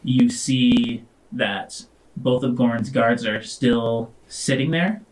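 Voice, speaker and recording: A man running a tabletop roleplaying game, telling the story to the players in a halting, deliberate way. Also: medium pitch, poor audio. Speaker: game master.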